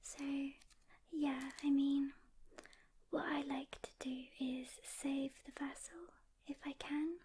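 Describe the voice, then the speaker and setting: A woman whispering a soft-spoken ASMR roleplay as a calm, caring demon hunter, gently reassuring a rookie with pauses, close to the mic. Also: high-pitched.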